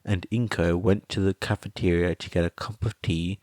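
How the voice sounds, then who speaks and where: A man's voice: deep voice, fairly even intonation, moderate pace, a little mellow, no room sound, studio quality. A man reading a story aloud as scripted podfic narration.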